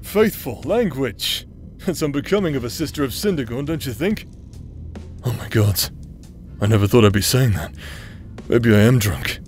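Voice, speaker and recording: A man's voice: mid-range voice, expressive, normal speed, long pauses, a little mellow, soft background music, natural voice. A man playing a cocky, teasing adventurer in an audio roleplay, with a drawling, playful manner. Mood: amused. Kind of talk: dramatic audio roleplay acting.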